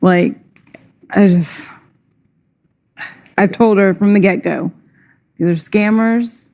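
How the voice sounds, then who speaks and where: A woman's voice: medium pitch, slow pace, dark tone, a little hiss or hum. A woman as a talk show guest, speaking in a frustrated, emphatic way. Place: tv studio.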